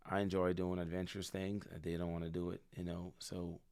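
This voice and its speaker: A man of around thirty, a podcast guest speaking in a relaxed, reflective way on a stand mic in an office room. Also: low-pitched, brisk, clean tone. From Bahamas. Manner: relaxed, reflective, repeats words as he thinks. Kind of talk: casual conversation. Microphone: studio mic on a stand.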